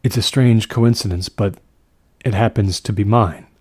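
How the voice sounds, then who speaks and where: A man's voice: fairly low pitch, steady tone, moderate pace, darkish tone, breathy edge, no room sound. A man playing an uneasy, polite artist in a horror story, speaking in a careful, hesitant way. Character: uneasy, polite male artist telling his own eerie tale.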